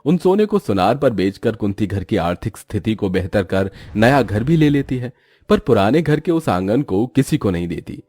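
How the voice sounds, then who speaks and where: Lively, mellow male storyteller. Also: lowish voice, moderate pace, dry recording. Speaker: story narrator. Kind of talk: scripted storybook narration.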